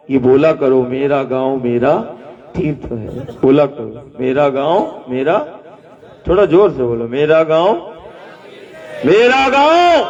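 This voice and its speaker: A man's voice: fairly high pitch, darkish tone. A man giving a devotional discourse to a gathering, rhetorical and repetitive, asking listeners to repeat lines back, recorded from a distance at an outdoor gathering. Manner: rhetorical, repeating phrases, asking the crowd to repeat after him. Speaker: spiritual teacher. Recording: dull distant live recording with long reverb.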